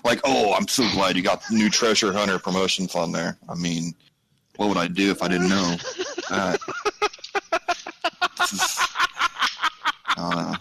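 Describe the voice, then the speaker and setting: A man's voice: deep voice, fairly even intonation, unhurried, vocal fry, long pauses, a bit bright, a little hiss or hum, poor audio. A man co-hosting a podcast, chatting casually in a laid-back, wry way. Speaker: podcast co-host. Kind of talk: casual chat.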